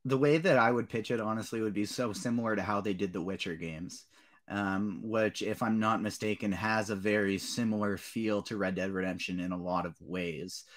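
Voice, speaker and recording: A man's voice: on the low side, moderate pace. A man hosting a casual panel discussion, chatting informally. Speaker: podcast host.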